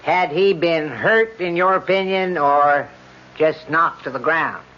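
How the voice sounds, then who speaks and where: An actor playing a methodical, weary defense lawyer in a radio drama, questioning formally and deliberately. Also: male voice, on the high side, pushed and bright. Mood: probing. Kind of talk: 1970s radio drama acting. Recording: dull old radio broadcast recording.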